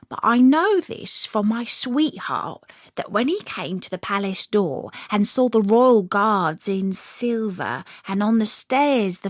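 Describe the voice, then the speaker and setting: A woman plays a friendly gentleman crow from a storybook, gossipy and courteous. The bird explains things slowly and carefully, with a pitch that rises and dips in lively sweeps. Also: no room sound, studio quality. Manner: measured, explanatory, gossipy telling.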